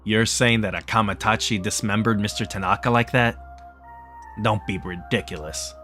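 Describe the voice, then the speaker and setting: Man playing blunt man, horrified and scornful, low voice, visual novel horror, faint music behind. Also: moderate pace, pushed and bright. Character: horrified, scornful man insisting on grim facts.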